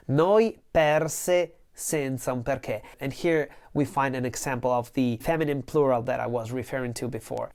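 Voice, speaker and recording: Slow, patient woman teaching Italian. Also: sits low. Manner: slow, patient, repeats each word with its meaning. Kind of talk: line-by-line song translation lesson.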